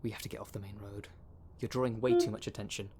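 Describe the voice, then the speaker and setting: A man's voice: lowish voice, steady tone, fast, lightly acted. A man playing a tense, menacing poacher in an audio roleplay, threatening and swearing with urgency. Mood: low menace. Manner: threatening, gruffly urgent, cursing. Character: tense, menacing, foul-mouthed male poacher.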